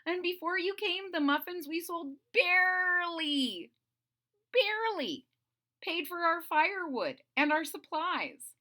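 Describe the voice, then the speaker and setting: Lady doing a poor storybook baker king, high voice, earnest pleading, brave but halting. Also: lively intonation, bright, forceful tone, heavily acted. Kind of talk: children's storybook character voice.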